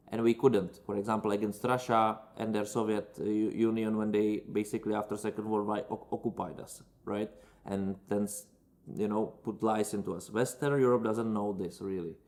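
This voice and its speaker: A man's voice: on the low side, darkish tone. A man from Czechia with a Czech accent, hosting a casual Q&A and giving strong opinions in loose, non-native English with frequent restarts. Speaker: channel host answering viewer questions.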